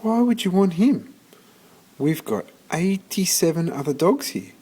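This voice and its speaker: A man's voice: high-pitched, expressive, measured pace, mellow, breathy edge, pitched above the performer's own voice, heavily acted. A man voicing a doubtful, matter-of-fact woman who works in an animal shelter office in a storybook, questioning and businesslike. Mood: sceptical, businesslike.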